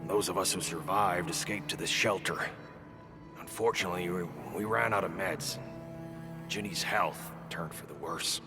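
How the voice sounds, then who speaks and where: A man's voice: low voice, moderate pace. An actor playing a wary, scolding older man who leads a shelter in a video game. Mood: grave. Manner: suspicious, scolding. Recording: long reverb, music behind.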